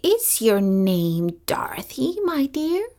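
A woman voicing a kindly little old witch woman who speaks gently and sometimes in a whisper. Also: on the high side, big pitch swings, slow.

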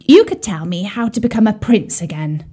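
A woman's voice: medium pitch, expressive, normal speed, warm tone, slightly rough. A woman voicing a glum, lovelorn male frog who was once a prince, mournful and hesitant, in a children's story. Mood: glum, pining.

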